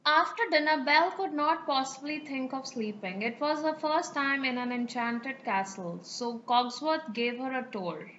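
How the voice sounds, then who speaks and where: A woman narrating a children's fairy tale as an expressive, dramatic storyteller. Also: high-pitched, moderate pace, hardly any pauses, dull audio.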